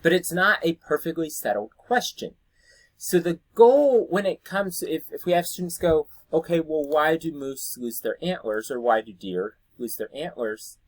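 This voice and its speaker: A man's voice: a little high, normal speed, no echo, poor audio. A man giving an informal instructional talk, explaining as a teacher would and thinking aloud as he goes. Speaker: science teacher educator presenting to teachers.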